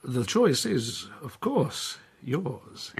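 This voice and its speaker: An actor playing a smooth, scheming gentleman captain and card-sharp in a period radio drama, with a florid, affected manner. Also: medium pitch, big pitch swings, very slow, full character voice. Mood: flattering, ingratiating. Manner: theatrical, hesitating with affected politeness.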